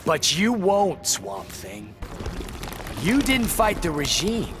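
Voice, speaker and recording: An actor playing a gruff, cold-hearted villain who taunts with a dismissive sneer. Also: male voice, sits high, huge pitch swings, slow pace, exaggerated voice. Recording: game music behind the voice.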